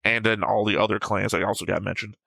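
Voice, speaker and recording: A man's voice: fairly low pitch, fairly even intonation, quick pace, vocal fry, pushed and bright, no echo. A man co-hosting an anime reaction show, talking through episode notes casually and bluntly, with some swearing.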